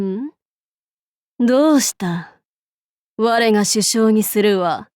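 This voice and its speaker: A woman acts out an imperious female demon king from a video game. She talks slowly, in archaic and lordly phrases, haughty yet amused. The recording is close and very dry. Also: mid-range voice, a little mellow, no room sound, natural voice. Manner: archaic, lordly, commanding speech. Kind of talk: Japanese game character voice lines.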